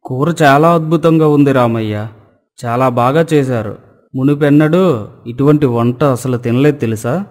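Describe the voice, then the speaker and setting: A gluttonous, fussy village landlord from a cartoon moral tale, acted by a man. His male voice sits at medium pitch and his manner is bossy and demanding. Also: moderate pace, a little mellow, big-room sound, lightly acted. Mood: delighted. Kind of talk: cartoon story dialogue.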